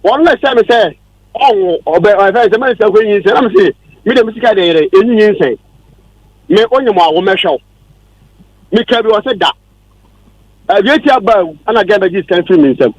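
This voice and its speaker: A man with a Ghanaian accent argues as a caller on talk radio. His voice is high for a man and sounds very dry. He presses his point insistently, circling back over the same words. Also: animated, brisk, long pauses, no room sound, muffled recording. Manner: insistent, repetitive. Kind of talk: heated argument.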